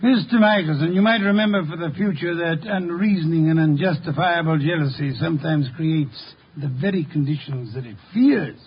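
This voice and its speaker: High-voiced pompous detective played by a man. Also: moderate pace, hardly any pauses, natural voice. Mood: dry, gracious. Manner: pompous, precise, formal diction. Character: brilliant, pompous, eccentric male detective, coolly unflappable. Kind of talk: 1950s radio detective drama acting. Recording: dull, noisy old radio recording.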